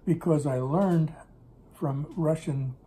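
An older man speaks with a mellow, slightly high voice at a kitchen table. He rambles warmly through memories, stopping to fix words midway. The camera sits on the table close in front of him. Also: slow. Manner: rambling, self-correcting storyteller. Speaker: interviewee recalling business in Russia. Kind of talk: casual storytelling interview. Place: home kitchen table, indoors.

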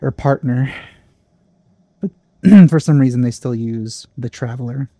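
A man giving casual, musing let's play commentary on a game, in a halting way. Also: medium pitch, warm tone.

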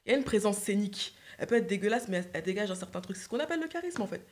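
A woman's voice: medium pitch, lively intonation, rapid-fire, a crowd in the background. Young female guest, earnest and insistent, desk mic, indoor set. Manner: earnest, insistent, repeats herself. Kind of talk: casual chat on a livestream. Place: indoor living room set. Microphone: desk microphone.

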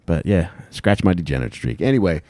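A man's voice: sits low, lively intonation, quick pace, mellow, dry recording. An American man co-hosting a casual comedy podcast, swearing and joking crudely into a close handheld mic. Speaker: podcast co-host. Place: small wood-panelled room, indoors. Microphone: handheld microphone held close.

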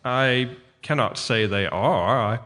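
An actor playing a courteous, pedantic astronomy professor in a radio drama, speaking formally with some hesitation. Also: male voice, mid-range voice, takes it slowly, sharp tone, long reverb tail. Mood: uneasy. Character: formal, detached male astronomy professor, troubled by a family's plight.

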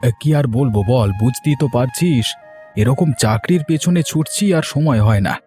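A man's voice: mid-range voice, expressive, normal speed, warm tone, faint music behind, lightly acted. A man voicing an earnest, dutiful young brother in a cartoon moral story, with a familiar way of talking.

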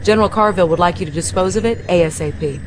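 Female ops officer, played by a woman, composed, brisk, lowish voice, noisy game transmission. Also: natural voice. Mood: brisk, pleased. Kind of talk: video game briefing acting.

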